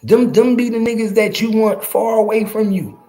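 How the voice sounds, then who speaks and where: A man's voice: high voice, normal speed, dark tone. A man in his early forties giving casual, slangy street commentary to his viewers, emphatic and profane, repeating phrases to drive them home. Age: early 40s. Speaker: podcast host.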